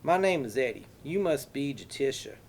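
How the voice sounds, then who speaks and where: A man's voice: mid-range voice, big pitch swings, normal speed, gravelly, darkish tone, slight background noise, voice pushed up. A man doing a menacing old Texas drawler. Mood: polite menace. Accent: exaggerated Texas drawl. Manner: folksy, courteous, veiled threats. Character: older graying man, polite but menacing.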